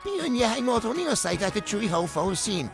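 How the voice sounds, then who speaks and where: A woman's voice: mid-range voice, very animated, brisk, gravelly, over music. A woman playing a dramatic, demanding boss with a pompous, theatrical manner in a comedic radio drama. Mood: melodramatic. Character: dramatic, demanding boss who talks in abstract riddles.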